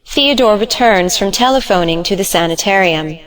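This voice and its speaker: A woman's voice: mid-range voice, expressive, normal speed, a bit bright, rough recording. A woman narrator reading stage directions in a scripted audiobook style.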